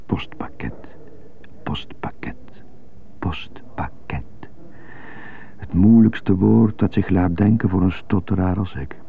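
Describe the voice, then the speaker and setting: A muffled, hissy radio drama recording of a troubled man with a stutter. His voice is low and gravelly, and he broods haltingly, as if coaching himself through every hard consonant. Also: heavily acted. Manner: halting, brooding inner monologue.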